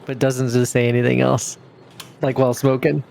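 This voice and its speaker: A man's voice: medium pitch, little pitch movement, normal speed, gravelly, darkish tone, a little hiss or hum. A man speaking casually as a player in an improvised tabletop roleplay.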